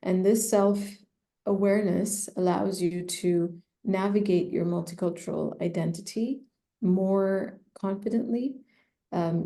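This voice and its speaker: A woman who specializes in personal branding, giving measured, thoughtful advice in an interview with frequent fillers. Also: on the low side, gravelly, dark tone. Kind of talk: conversational expert advice.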